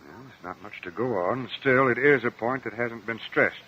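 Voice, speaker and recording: An actor playing a stern, fair-minded judge, speaking formally and with authority in a radio courtroom drama. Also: male voice, medium pitch, moderate pace, natural voice. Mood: judicious. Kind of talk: old-time radio courtroom drama acting. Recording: dull, noisy old radio recording.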